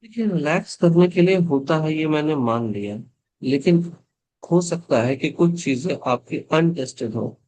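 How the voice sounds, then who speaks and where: A man's voice: fairly high pitch, animated, measured pace, darkish tone, poor audio. Man teaching an online yoga class. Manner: patient, reassuring, mixes English words into Hindi. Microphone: video call.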